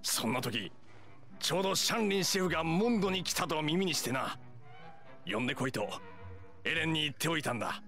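An actor playing a stern, direct hunter chief in an anime game, blunt and firm. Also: male voice, fairly high pitch, animated, fairly quick, long pauses. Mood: earnest persuasion. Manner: blunt, firm, matter-of-fact. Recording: studio voice over soft music.

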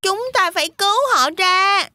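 A woman's voice: very high voice, moderate pace, pushed and bright, no echo, studio quality, full character voice. A woman voicing a brave, earnest young goat kid in a children's cartoon. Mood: determined. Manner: earnest, urgent.

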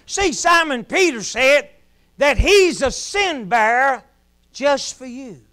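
High-pitched man preaching from a pulpit. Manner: preaching in rising shouts, then dropping to a hush. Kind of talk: sermon. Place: small church sanctuary, at a pulpit.

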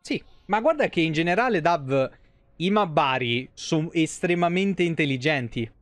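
A man in his thirties doing casual live game commentary. His voice sits a bit high, and he talks colloquially with swearing into a close microphone, very dry and without room echo. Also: animated, normal speed, a bit bright. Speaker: game streamer. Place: small room at home.

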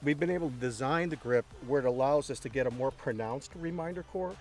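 A middle-aged man interviewing a golfer outdoors on a golf course, talking in a salesy way and often repeating words, picked up by an overhead boom mic. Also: fairly quick, a little mellow. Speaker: company head interviewing a golfer. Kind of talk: promotional walk-and-talk interview.

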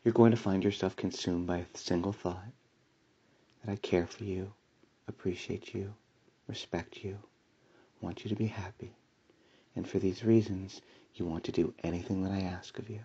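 A man delivering a slow hypnotic induction in a soft, soothing voice with breathy whispers and a repetitive commanding cadence. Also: deep voice, steady tone, muffled recording. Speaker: hypnosis audio creator.

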